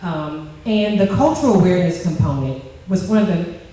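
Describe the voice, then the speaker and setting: A woman with a loud voice presenting a project in a chatty way, restarting her sentences often. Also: lowish voice, animated, moderate pace, slight background noise. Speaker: team presenter. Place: large echoey meeting room. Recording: echoey room from far off.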